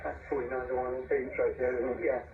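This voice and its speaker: A man chatting unscripted as an amateur radio operator, halting and hesitant, heard over shortwave radio. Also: medium pitch, little pitch movement, phone-quality sound. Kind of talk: unscripted two-way radio chat. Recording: thin band-limited radio signal, hissy, distant.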